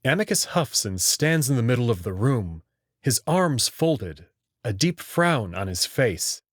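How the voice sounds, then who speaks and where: A man's voice: medium pitch, sing-song, a little slow, no echo, clean studio sound. A man playing an anxious, skeptical young human hero who narrates his own story in a visual novel, in a plain, casual, wry way. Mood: uneasy, reflective. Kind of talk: first-person visual novel narration and dialogue.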